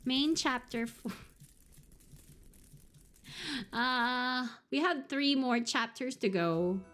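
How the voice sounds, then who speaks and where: A woman's voice: sits high, slow, a bit bright. A woman streaming a horror visual novel, reading it aloud and reacting with frequent giggles and surprised swearing. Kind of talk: live game stream commentary and reading aloud.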